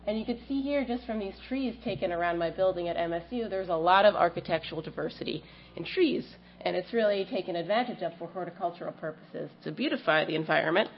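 A woman's voice: fairly low pitch, quick pace. A woman in her thirties with a cold giving an academic research seminar, explaining her work with frequent ums. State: has a cold, slightly stuffy. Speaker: plant scientist giving a research talk. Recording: dull lo-fi lecture audio with faint hum.

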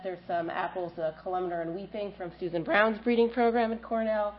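A woman in her thirties presents her plant research at a seminar, sounding a little stuffy from a cold. Her voice sits on the low side, and she pauses on frequent ums as she explains. The lecture recording is muffled and lo-fi, with a faint hum underneath. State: has a cold, slightly stuffy. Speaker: plant scientist giving a research talk.

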